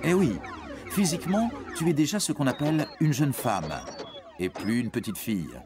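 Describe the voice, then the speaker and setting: Male narrator for an educational cartoon; playful, very animated pitch, strong music bed underneath. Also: moderate pace. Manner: playful, addresses the young listener directly. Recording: prominent music behind narration.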